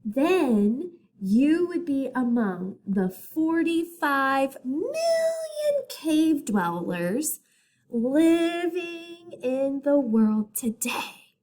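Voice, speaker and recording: Lady teacher reading a picture book to kids, highish voice, really up and down. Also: a little mellow. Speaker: teacher reading aloud to children. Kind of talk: picture book read-aloud.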